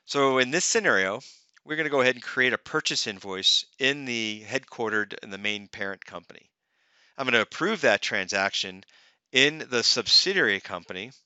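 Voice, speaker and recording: A man presents a software walkthrough in a clear, resonant and fairly low voice. His delivery is explanatory and conversational, moving step by step. The close-miked voice-over sounds very dry. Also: no echo. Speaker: software demonstrator. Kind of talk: narrated software tutorial, semi-scripted walkthrough. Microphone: close microphone, screen-recording voice-over.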